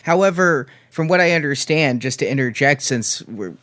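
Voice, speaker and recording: Mid-pitched man hosting a conversation. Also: animated, normal speed, fairly bright tone. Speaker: interviewer, host. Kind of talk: conversational commentary, giving background and opinion.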